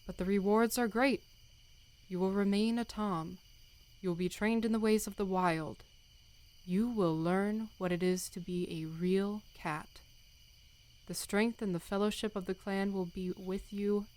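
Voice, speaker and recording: A woman's voice: medium pitch, steady tone, a little mellow, natural voice. Woman playing a stern, dignified cat clan leader. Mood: solemn. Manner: measured, solemn, commanding. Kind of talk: audiobook fandub acting.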